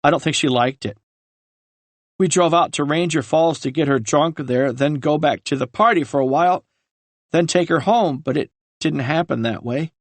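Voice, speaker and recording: A man voicing a guilt-ridden young man who confesses haltingly, on the edge of tears. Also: fairly high pitch, dry recording, natural voice. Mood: haunted. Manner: halting, breaking with remorse. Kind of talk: audiobook character reading.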